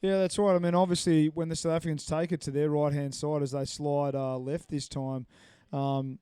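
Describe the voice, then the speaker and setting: A man's voice: a little high, little pitch movement, moderate pace, mellow, clean tone, no echo, clean studio sound. A man commentating a live match with upbeat, jokey analysis and frequent fillers. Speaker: sports commentator.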